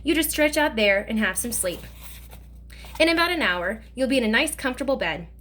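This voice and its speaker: A chatty old man from a storybook, kind and full of jokes, who rambles on in a folksy way. A woman acts him out, with his voice set fairly high. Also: lively intonation, fairly quick, fairly bright tone, slight background noise. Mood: teasing. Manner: joking, folksy, talkative. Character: teasing kindly older male driver.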